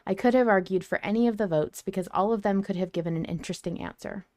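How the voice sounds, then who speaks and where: A woman's voice: mid-range voice, fast talker, darkish tone, no room sound. A woman hosting a casual fan podcast, talking in an effusive, gushing way. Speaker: podcast host.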